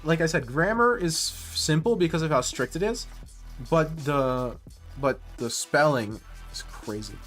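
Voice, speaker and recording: A man's voice: a little high, expressive, measured pace, fairly bright tone, faint music behind. A man streaming live and chatting casually with viewers, rambling and correcting himself mid-sentence with nerdy enthusiasm. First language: English.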